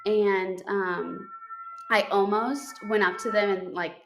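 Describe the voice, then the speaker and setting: A woman's voice: medium pitch, steady tone, takes it slowly, music in the background, rough recording. A young woman co-hosting a podcast, telling a story in a chatty way with many fillers and restarts. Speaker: podcast co-host. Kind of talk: casual chat.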